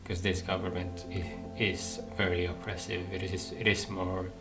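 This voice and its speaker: Male gaming host, low pitch, casual commentary, hesitant and musing, game music behind him. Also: little pitch movement, moderate pace. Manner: hesitant, musing, thinking aloud.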